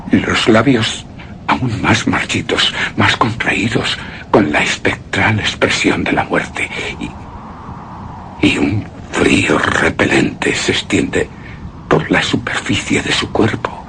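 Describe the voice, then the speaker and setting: A tormented, haunted keeper of a deathwatch in a horror radio drama. His deep voice moves very slowly and haltingly, with a hard, tense edge. The delivery is hushed and theatrical. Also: vocal fry, a little hiss or hum, full character voice. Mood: desperate, agitated. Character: tormented male narrator keeping deathwatch over a shrouded woman. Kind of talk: Spanish-language suspense and horror radio drama monologue.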